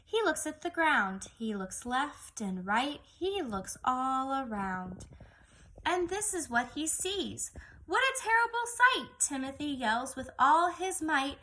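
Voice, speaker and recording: A woman with a high, bright voice speaks as a teacher of young children. She takes her time, in a singsong read-aloud style, posing questions to her listeners as she goes. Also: sing-song, slow, rough recording.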